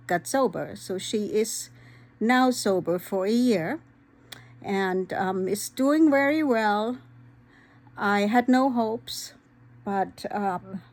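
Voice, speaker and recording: A woman's voice: medium pitch, darkish tone. A woman speaks candidly to her channel in a mid-range voice. She talks in an earnest, unpolished way, stopping often on "um" as she gathers her thoughts. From Vojvodina, former Yugoslavia; raised in Germany. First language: Hungarian. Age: 50s. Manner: earnest, candid, frequent "um" pauses. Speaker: vlogger. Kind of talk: personal vlog talk.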